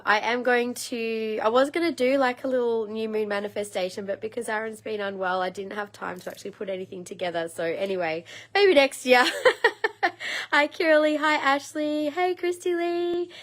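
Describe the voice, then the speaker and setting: A woman in her thirties with a slightly croaky voice, chatting casually and rambling a little as she hosts a live crystal sale. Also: medium pitch. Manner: chatty and rambling, many ums. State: slightly croaky, frog in throat. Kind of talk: casual live-stream sales chat.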